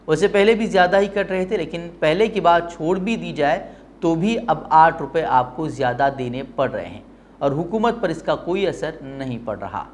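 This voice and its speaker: A man presenting a news bulletin with pointed commentary, speaking in an emphatic, rhetorical way. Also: on the high side.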